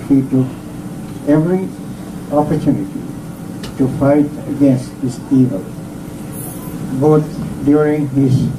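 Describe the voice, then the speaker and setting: A man gives a formal introduction at a book launch, speaking slowly and haltingly and correcting himself as he goes. His voice sits at a middle pitch. He sounds far from the mic in a reverberant hall with steady background noise. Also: gravelly. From Sri Lanka. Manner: slow, halting, with frequent self-corrections. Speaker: guest speaker introducing the author. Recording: echoey room, distant mic, background noise.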